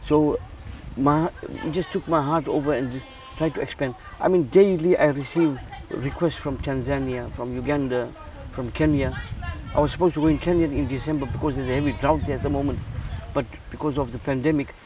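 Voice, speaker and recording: A man's voice: medium pitch, steady tone, fairly quick, few pauses, recorded from a distance, low-quality recording. A man from Zimbabwe who runs a charity, talking earnestly in a casual interview and often repeating words as he restarts. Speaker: charity founder.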